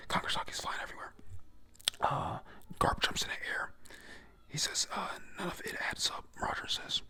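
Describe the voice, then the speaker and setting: A voice whispering a casual, profane recap of an anime episode, often stumbling over names and restarting sentences. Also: pushed and bright. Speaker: recap narrator.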